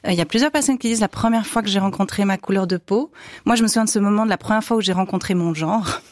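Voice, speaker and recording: A woman taking part as a guest on a radio discussion, speaking in a thoughtful, expressive way. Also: mid-range voice, racing, no room sound, clean studio sound.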